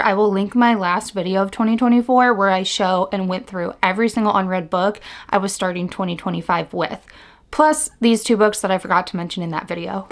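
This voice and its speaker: A young woman narrating a scripted voice-over intro in a measured, earnest way. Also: medium pitch.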